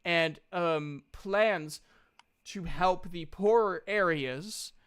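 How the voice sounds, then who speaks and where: A man's voice: high voice, extremely slow, fairly bright tone, clear, resonant tone, no echo, exaggerated voice. A man voicing an earnest, diplomatic woman representing a guild in a tabletop game, speaking formally and reasoning things through. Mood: measured, persuasive. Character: earnest, diplomatic female guild representative making a counter-offer.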